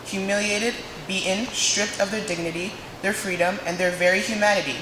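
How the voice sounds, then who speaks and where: A young woman from Nigeria, giving a prepared student speech in a dramatic, emphatic way with pauses. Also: medium pitch, fairly even intonation. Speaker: student speaker. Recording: echoey hall, noisy room sound.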